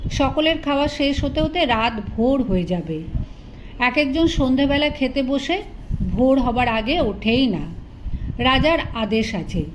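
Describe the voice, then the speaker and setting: Lady reading an audiobook story, pretty high voice, clear noise in the background. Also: poor audio. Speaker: audiobook narrator. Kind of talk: scripted literary story narration.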